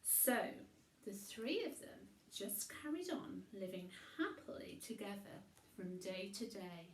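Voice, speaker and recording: A woman reading a children's bedtime story in a theatrical way, with dramatic pauses. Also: medium pitch, very animated, reverberant, slight background noise, rough recording.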